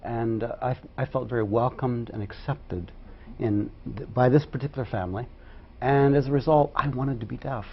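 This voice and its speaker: A man from Ohio answering interview questions about sign language, telling stories in an animated way. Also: mid-range voice, normal speed, a little hiss or hum. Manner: animated storytelling. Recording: dull lo-fi studio recording.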